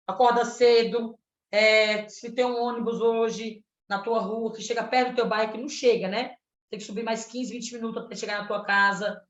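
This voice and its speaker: A woman in her thirties from Pernambuco speaks with earnest activist conviction, often tagging her points with questions. Her voice sits fairly high. It comes through a roomy, dull lo-fi line, like a video call. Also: fairly even intonation, normal speed. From Pernambuco, Brazil. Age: 30s. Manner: earnest, activist, frequent "né?" tags. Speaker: candidate for state legislature. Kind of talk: panel debate answer.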